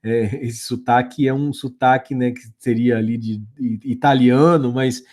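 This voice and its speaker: A man's voice: medium pitch, fairly even intonation, unhurried, a little mellow. A man teaching a history lesson over slides, talking loosely with frequent fillers, restarts and check-ins with the class. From Brazil.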